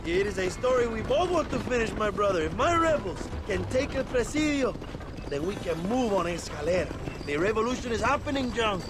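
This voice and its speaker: A man's voice: sits high, sing-song, fairly quick, noisy background. A man playing a swaggering, scheming Mexican rebel leader in a video game, grandiose, effusive and chummy, with a Mexican accent. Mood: proud, triumphant. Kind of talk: dramatic video game acting.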